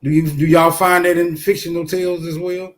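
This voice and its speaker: A man in an informal debate, putting questions to others in formal, deliberate wording. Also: a little high, level tone.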